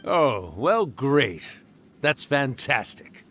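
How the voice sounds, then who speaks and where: A man's voice: mid-range voice, very animated, very slow, a bit bright, a little hiss or hum, heavily acted. A man playing an ancient, genial yokai painter in an English game dub, with a playful, drawling, theatrical delivery. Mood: fond.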